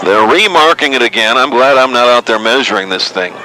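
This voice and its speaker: A man's voice: medium pitch, expressive, brisk. A man with an American accent doing live radio play-by-play commentary of an American football game. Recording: noisy outdoor stadium ambience.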